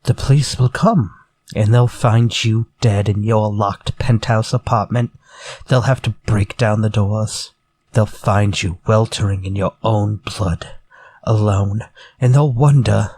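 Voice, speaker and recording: An actor playing a vengeful, menacing ex-convict murderer in a retro radio drama, theatrical, taunting and deliberate. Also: male voice, lowish voice, fairly even intonation, darkish tone. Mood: gloating menace.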